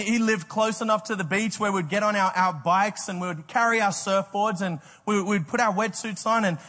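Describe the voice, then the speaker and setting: An Australian man preaches with a high, bright-toned voice that rings out in a big reverberant hall. He tells his story with energy, stumbles over repeated words and laughs at his own jokes. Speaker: pastor. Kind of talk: sermon with personal storytelling.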